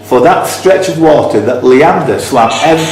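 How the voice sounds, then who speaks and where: A man's voice: mid-range voice, lively intonation, normal speed, a little mellow, faint music behind. A man hosting a live quiz, chatty and jokey, with bantering asides between the questions. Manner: jokey, chatty, exclaims and digresses. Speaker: quiz host. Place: indoor room at a cricket club. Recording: very echoey, reverberant function room.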